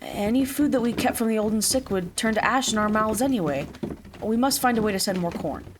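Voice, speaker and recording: A woman's voice: fairly high pitch, brisk, lightly acted. Woman as an earnest young village woman, faint noise. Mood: earnest, resolute. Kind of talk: audio drama acting.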